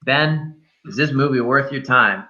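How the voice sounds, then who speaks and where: Casting: male podcast host, sharp bright tone, medium pitch, blunt and informal, slightly lo-fi sound. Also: a little slow. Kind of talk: casual banter, movie review chat.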